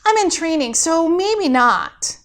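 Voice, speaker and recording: A woman's voice: very high-pitched, sing-song, takes it slowly, a bit bright, voice pushed up, full character voice. A woman voicing a nervous, eager twelve-year-old angel in training for a story, hesitant and stammering.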